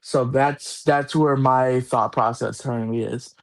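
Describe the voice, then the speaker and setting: Man co-host, mid-pitched voice, casual rambling opinions, lots of stops and restarts. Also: steady tone, measured pace. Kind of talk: casual chat.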